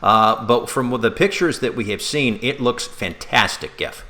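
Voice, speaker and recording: A man co-hosting a sports talk show, speaking casually in a conversational, halting way with restarts. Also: medium pitch, bright, forceful tone.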